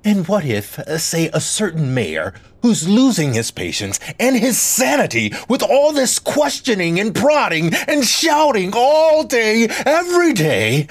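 A man playing a sarcastic, frazzled, domineering small-city mayor with a theatrical, drawn-out delivery in an audio drama. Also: high voice, husky, heavily acted. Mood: sardonic, agitated. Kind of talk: scripted audio drama acting.

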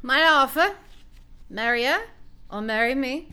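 A woman's voice: high voice, expressive, unhurried, bright, forceful tone, clean tone, heavily acted. A woman playing a blunt, exasperated chambermaid turned princess in a comedic radio play, with casual modern phrasing. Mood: indignant.

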